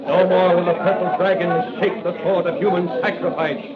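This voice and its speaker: An actor playing a bold masked crime fighter in an old radio adventure, declaiming taunts and threats. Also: male voice, high-pitched, little pitch movement, normal speed, a loud crowd, close to an everyday voice. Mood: triumphant. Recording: muffled radio recording under loud music.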